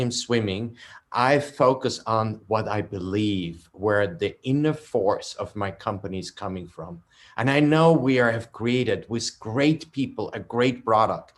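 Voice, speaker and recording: A man with an Austrian accent, a non-native speaker of English, giving earnest, halting closing remarks on a video call panel as a company founder. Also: mid-range voice. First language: German.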